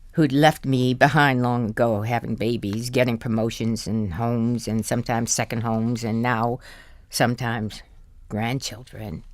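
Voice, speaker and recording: A woman's voice: rumbling low, no echo. An actress playing a wry, indulgent older wife who tells her story confidingly in an audio drama. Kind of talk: audio drama acting with first-person narration.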